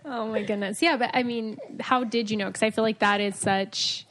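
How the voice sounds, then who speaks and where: A woman's voice: a little high, fairly even intonation, normal speed, fairly bright tone, slight background noise. A woman hosting a casual podcast interview, chatty and asking follow-up questions. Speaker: podcast host.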